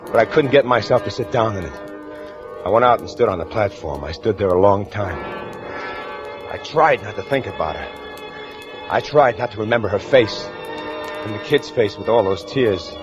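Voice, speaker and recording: A man's voice: fairly low pitch, expressive, moderate pace, long pauses, close to an everyday voice. Haunted criminal narrator male actor, stammering and intense, 1940s radio thriller; muffled vintage recording with music behind. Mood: brooding. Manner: hesitant, stammering, emotional delivery. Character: smitten male gunman, tense and haunted, narrating his crime. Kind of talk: 1940s radio acting, first-person narration and dialogue.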